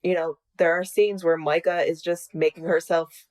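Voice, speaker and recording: A woman with a slightly low voice chats as a guest in a casual interview. She speaks over a video call in an easy, talkative manner. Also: level tone, normal speed, darkish tone. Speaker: podcast creator, interviewed guest. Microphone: video call mic.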